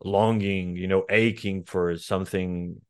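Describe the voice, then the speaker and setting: A man's voice: sits low, fairly even intonation, a little slow, darkish tone, clean tone, dry recording, studio quality. Reflective Portuguese-accented man in his forties. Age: 40s. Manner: reflective, hesitant with many fillers and restarts. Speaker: guest writer. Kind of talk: conversational interview.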